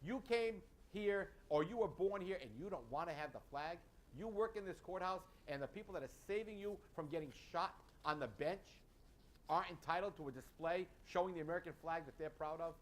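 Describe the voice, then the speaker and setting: A man's voice: a little high, a little fast. A man co-hosting a local political commentary show, indignant and emphatic, with uneven pacing. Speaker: co-host.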